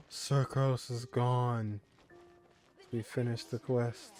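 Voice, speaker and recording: A man with a mid-pitched voice gives casual let's-play commentary. He talks slowly, in bemused asides and mild exclamations, with music faintly behind him. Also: animated, darkish tone. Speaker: gaming video host.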